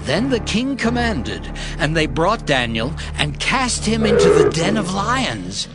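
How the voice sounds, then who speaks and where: A man narrating dramatized scripture in a solemn, expressive storytelling manner. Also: fairly high pitch, a little slow, over loud music.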